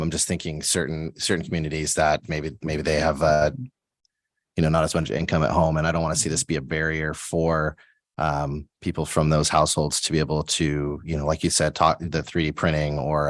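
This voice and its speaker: Very deep-voiced man asking carefully. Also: flat delivery, fairly quick, no room sound. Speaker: school board member. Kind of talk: public meeting question. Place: school board meeting room.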